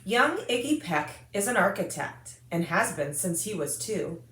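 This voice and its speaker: A woman's voice: mid-range voice, big pitch swings, unhurried, a bit bright, a little hiss or hum. American woman storyteller in an echoey room. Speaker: storyteller reading a picture book to children. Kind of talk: expressive read-aloud of rhyming children's story.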